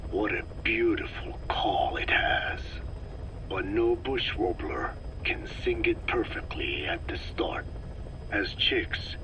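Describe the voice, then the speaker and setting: Game role: grave old male scientist, lowish whispery rasp, slow and instructive, clearly noisy tape recording. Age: elderly. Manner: slow, measured, solemn explaining. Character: grave, learned old male scientist explaining his parasites. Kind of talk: video game voice acting, recorded briefing. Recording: noisy cassette tape briefing.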